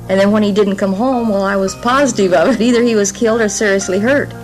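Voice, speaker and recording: A woman with a medium-pitched voice recalls events in a plain, hesitant way. It is a 1950s radio interview tape with faint music underneath. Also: fast, a little mellow, low-quality recording. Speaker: interviewed parent. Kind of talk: unscripted recollection in interview.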